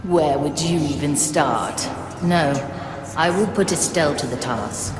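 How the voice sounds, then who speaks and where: An actress playing the solemn, commanding spirit of a living ghost ship, speaking formally. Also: female voice, low voice, a little slow. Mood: solemn, uneasy. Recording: echoing, long reverb under music.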